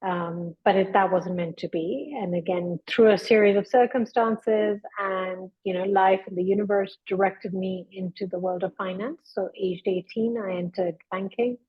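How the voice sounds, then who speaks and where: Husky-voiced woman, measured storyteller. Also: mid-range voice, steady tone, muffled recording. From born in London, raised in India. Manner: measured, thoughtful, frequent ums. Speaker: guest, memoir author. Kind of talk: reflective personal storytelling.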